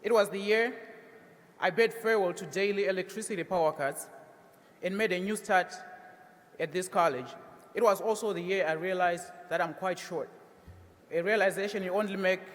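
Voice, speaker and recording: A man's voice: sits high, normal speed, fairly bright tone, in a large hall. A young adult man from Zimbabwe with a Zimbabwean accent, giving a prepared graduation speech as a student speaker. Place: large ceremony hall, at a podium.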